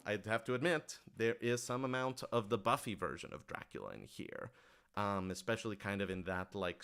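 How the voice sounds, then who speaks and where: Male creator for livestream Q&A: lowish, smooth voice, informal and keen, thinks aloud with halting, emphatic phrasing. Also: a bit bright. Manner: enthusiastic, emphatic. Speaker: writer-director answering audience questions. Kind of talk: casual livestream Q&A chat.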